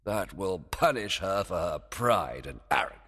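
A man's voice: deep voice, lively intonation, measured pace, fairly bright tone, slightly rough, long reverb tail, full character voice. A man voicing a stern, jealous and scheming fairy king, commanding, in a storybook. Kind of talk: dramatized storybook acting.

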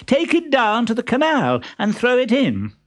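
Man putting on a coy, high-voiced young lady. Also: big pitch swings, measured pace. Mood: mock disdain. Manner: coy, loudly theatrical.

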